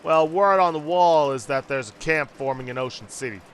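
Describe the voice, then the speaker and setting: A man's voice: a little high, normal speed, fairly bright tone, slight background noise, lightly acted. A man voicing a cheerful, joshing hobo from a video game, with folksy, jovial phrasing. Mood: friendly, folksy. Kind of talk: read-aloud game character dialogue.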